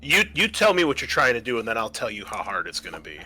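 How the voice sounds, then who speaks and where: A man's voice: mid-range voice, sing-song, fast, sharp tone, husky. A man acting as game master, explaining the rules and setting of a tabletop game in a conversational way. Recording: faint background noise.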